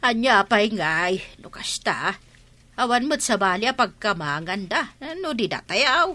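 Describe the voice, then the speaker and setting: A woman's voice: medium pitch, big pitch swings, measured pace, bright, forceful tone. A woman with an Ilocano Filipino accent playing a frank, scolding village wife in a radio drama. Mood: concerned.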